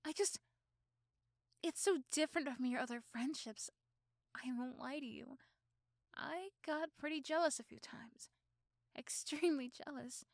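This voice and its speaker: A woman voicing a possessive, protective teenage girlfriend in an ASMR roleplay, doting and intense, with dramatic pauses and repeated words. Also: sits high, creaky, no room sound, studio quality. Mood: guilty, hurt. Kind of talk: ASMR roleplay acting addressed to the listener.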